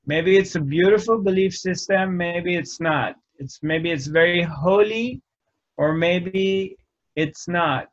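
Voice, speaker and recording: A man speaking very slowly, with a slightly raised pitch, as he gives a contemplative spiritual teaching. He talks in short, deliberate phrases and leaves long gaps between them. The recording sounds dull and lo-fi. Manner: slow, measured, pausing often between phrases. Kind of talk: reflective spiritual talk.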